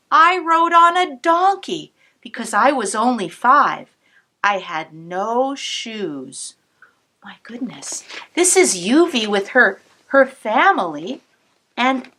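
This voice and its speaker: Cantor reading a picture book to kids; slow, sing-song delivery with lots of pitch movement. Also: female voice. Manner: warm, expressive storytelling with asides to the listener.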